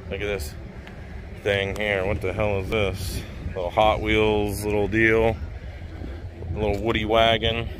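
A man with a fairly low voice talks casually into a handheld camera while looking over a car. He is laid-back and slangy, full of admiration, and speaks off the cuff. Some outdoor background noise sits behind him. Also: monotone, unhurried. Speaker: car show vlogger. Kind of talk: casual off-the-cuff walkaround commentary. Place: outdoor car auction lot, at times inside a car. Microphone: handheld camera, close behind it.

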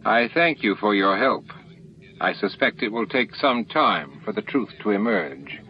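A man voicing an authoritative male alien chief, smooth, measured and formal. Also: fairly low pitch, lively intonation. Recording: dull lo-fi audio, faint noise.

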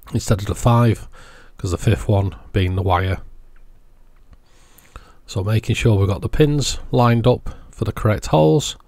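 A man narrates an instructional video in a fairly low voice. His delivery is plain and methodical, laying out one step after another. The sound is very dry, with no room echo. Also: a little mellow. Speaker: host of electronics repair tutorials.

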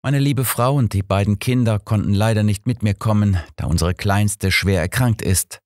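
A man's voice: fairly low pitch, moderate pace, a little mellow, no echo, studio quality, voice pushed up, lightly acted. A man voicing an earnest, sorrowful businessman in an audiobook, polite and explanatory in manner. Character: earnest, sorrowful businessman from abroad, protesting innocence.

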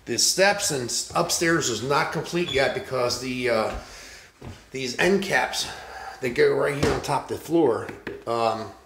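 A man's voice: medium pitch, unhurried, vocal fry, a bit bright, slight background noise. A middle-aged man, a renovation contractor, casually walking through a house with a handheld phone and talking about the work. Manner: casual, plain-spoken, upbeat. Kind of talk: walkthrough vlog. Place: house under renovation, indoors. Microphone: phone camera, handheld while walking. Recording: echoey empty rooms.

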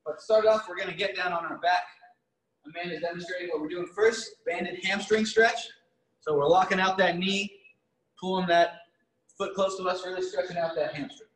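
A man's voice: high-pitched, fairly even intonation, normal speed, a bit bright. Young man coaching in an echoey gym. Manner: upbeat, encouraging cues to participants. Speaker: fitness coach. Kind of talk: coaching a live online workout class. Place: large gym with rigs, indoors. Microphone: far camera.

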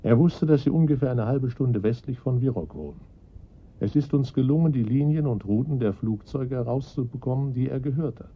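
A man playing a confident, encouraging police detective in a radio crime drama, with measured delivery. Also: medium pitch, breathy edge, natural voice. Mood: smug, calm. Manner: approving, measured questioning. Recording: dull lo-fi radio play recording.

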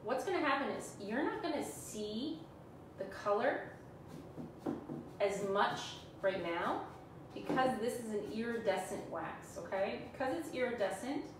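A woman's voice: fairly high pitch, expressive, measured pace. A Canadian woman in her forties, talking viewers through a live furniture painting demo in a chatty, self-teasing way. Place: small room indoors, sitting on the floor. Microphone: camera on a stand a few feet away. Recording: echoey room, far from mic, background hum.

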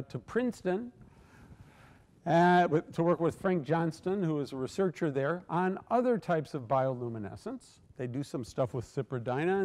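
Older male lecturer, higher voice, reverberant hall, storytelling with fillers. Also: darkish tone. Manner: storytelling, frequent "uh" fillers and restarts. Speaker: keynote lecturer. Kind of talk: academic lecture. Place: large lecture hall, at a podium. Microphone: podium microphone.

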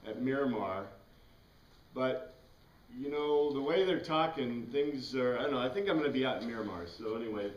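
A middle-aged man with an American accent giving an informal classroom lecture, rambling conversationally and putting questions to the class. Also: mid-range voice. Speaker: class teacher. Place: small classroom with whiteboard and bookshelves. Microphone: far camera across the room. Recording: echoey room, distant mic, background noise.

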